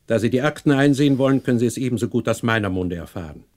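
A man acting a stern, proud, unforgiving older patriarch in a German radio play, cold, sarcastic and measured. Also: medium pitch, animated, a little mellow, lightly acted. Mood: cold, haughty.

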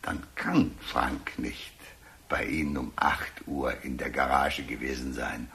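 An actor playing a deliberate man who reasons slowly and carefully in a German radio drama. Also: rumbling low, fairly even intonation, vocal fry, bright, forceful tone, heavily acted. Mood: deliberate, pensive.

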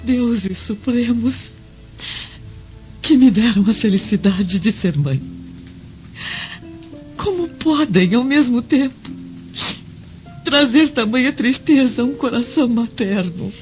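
A woman's voice: fairly high pitch, expressive, slow pace, pauses often, darkish tone. Devout Roman mother, anguished, solemn, tender, radio drama, dull recording, background music. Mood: anguished prayer. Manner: pleading, solemn. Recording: dull lo-fi audio under music.

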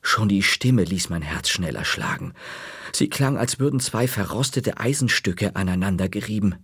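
A man narrating a children's story in a measured, dry-humored way. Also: lowish voice, big pitch swings, fairly bright tone, breathy edge, dry recording.

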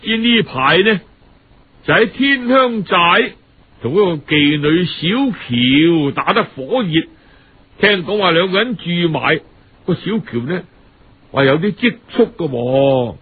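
High-voiced male Cantonese storyteller. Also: animated, narrow, tinny audio. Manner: dramatic, colloquial, with drawn-out phrase-end particles. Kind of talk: traditional radio storytelling, audiobook narration.

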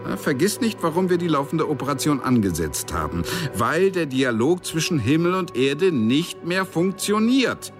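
An actor in a radio play playing an aggrieved, lecturing superior of the angels, emphatic and indignant. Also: male voice, on the high side, big pitch swings, normal speed, music in the background.

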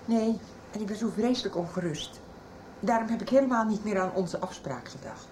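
A woman acts an anxious yet resolute mother from a nineties radio drama. Her intonation is animated and mid-pitched. A faint noise sits behind her. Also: fairly quick, close to an everyday voice. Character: worried, determined mother of a missing child. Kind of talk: 1990s Dutch radio soap acting.

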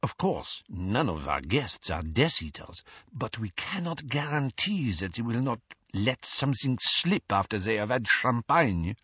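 A man's voice: mid-range voice, very animated, measured pace, pushed and bright, slightly rough, dry recording, exaggerated voice. A man voicing a poised, formal young woman who speaks precisely. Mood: cool. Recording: dry, dull lo-fi audio.

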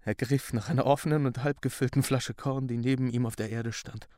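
A man's voice: medium pitch, fairly even intonation, fairly quick, warm tone, no echo, clean studio sound, lightly acted. An actor playing a haunted young man in a radio drama, recounting a grim confession in a sombre, plain, restrained way. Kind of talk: radio drama monologue, recounting in past tense.

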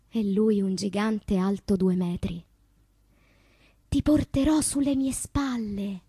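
A wistful tormented lady poet, stage monologue, highish husky voice, intense and dramatic, really dry close sound. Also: no echo, heavily acted. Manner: dramatic, slow deliberate delivery with hushed passages. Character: tormented woman poet recalling destructive loves, wistful and intense. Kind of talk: theatrical poetic monologue.

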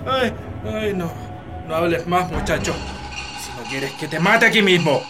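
A man's voice: high-pitched, lively intonation, slow pace, music in the background. An actor with a Chilean accent playing a stern, scornful hacienda patron and father in a radio drama, haughty and threatening. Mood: mocking, threatening. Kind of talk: Spanish-language radio drama acting.

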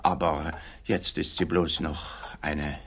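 An actor playing an odd, guileless middle-aged East German client in a comic radio play, hesitant, rambling and naively literal. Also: male voice, rumbling low, level tone, slow pace, fairly bright tone, exaggerated voice. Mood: naive, matter-of-fact.

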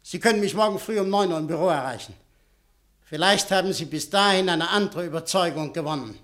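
A smug, threatening schemer. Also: male voice, high-pitched, fairly quick, a bit bright. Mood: menacing. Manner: cold, warning, superior. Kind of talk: 1950s radio play acting.

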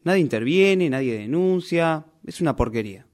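A man's voice: a little high, animated, normal speed, a little mellow, lightly acted. Indignant Argentine man, acting a rebellious fictional character. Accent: Argentine Rioplatense. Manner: argumentative, reproachful. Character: indignant, defiant male novel character confronting his author. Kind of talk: radio play rehearsal acting.

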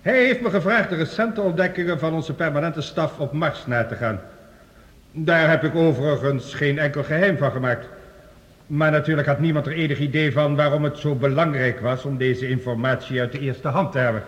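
Actor as an earnest scientist reporting in an echoing hall. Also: male voice, a little high, lightly acted. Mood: factual, earnest. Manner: measured, factual, formal. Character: earnest male scientist reporting research, matter-of-fact colleague. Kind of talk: radio play acting, formal report. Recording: reverberant hall, radio play production.

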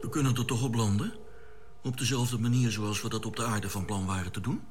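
A man's voice: fairly low pitch, fairly even intonation, a little fast, slight background noise, lightly acted. An actor playing a naive, plain-spoken spaceship crewman in a science fiction radio drama, full of exclamations and wondering questions. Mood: curious.

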